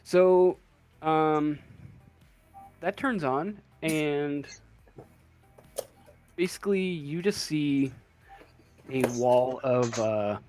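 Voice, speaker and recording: A man running a tabletop game as game master, narrating off the cuff and halting as he searches for words. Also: fairly high pitch, darkish tone, faint music behind.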